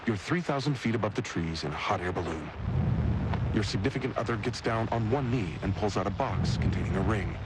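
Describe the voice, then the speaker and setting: A man's voice: fairly low pitch, lively intonation, fairly quick, heavy background noise. A man reading a scripted radio car commercial as a voice-over announcer. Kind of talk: scripted car advert narration. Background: music bed.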